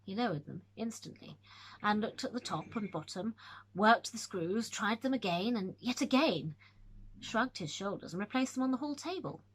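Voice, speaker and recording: A woman narrating a classic ghost story in a measured, atmospheric reading. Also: mid-range voice, expressive, husky, rough recording.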